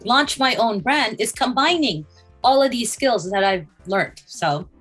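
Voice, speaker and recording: Brief: woman guest, higher voice, reflective and listing, soft music under her. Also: lively intonation, a little slow, breathy edge. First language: English first language. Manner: listing, thinking aloud with fillers, occasional coughs. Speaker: interview guest, designer. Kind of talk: remote interview answers.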